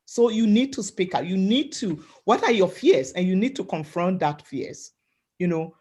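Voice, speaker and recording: A woman from Africa gives a webinar talk over a video call, her voice pitched in an ordinary middle range. She speaks loudly and emphatically, coaching her listeners and checking in with them again and again. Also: expressive, normal speed, breathy edge. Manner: loud voice, emphatic, repeats "right?" and "you know". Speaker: webinar panelist. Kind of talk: motivational talk.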